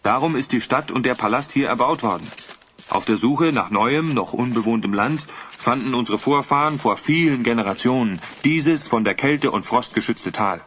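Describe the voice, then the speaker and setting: A man's voice: mid-range voice, animated, moderate pace, a bit bright, slight background noise, natural voice. A man playing a courtly guide in a fantasy audio drama, explaining things formally. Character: informative courtly guide to an ice palace.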